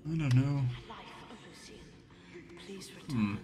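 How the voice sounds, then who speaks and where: A man gives casual let's play commentary as a game streamer. He talks slowly at a middling pitch, musing aloud and slipping in small role-play asides. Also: crowd noise. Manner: musing, thinking out loud.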